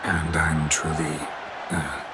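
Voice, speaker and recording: Deep, gravelly, laconic monster hunter. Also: male voice, takes it slowly, a loud crowd, very noisy. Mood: dry, restrained. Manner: terse, flat, deadpan. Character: gruff, laconic male monster hunter, world-weary. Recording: loud music under the voice.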